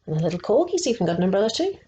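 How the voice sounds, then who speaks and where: A woman reading a picture book aloud to children, slow and expressive, stopping to ask them questions. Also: mid-range voice, dull audio. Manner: slow and expressive, asking the young listeners questions.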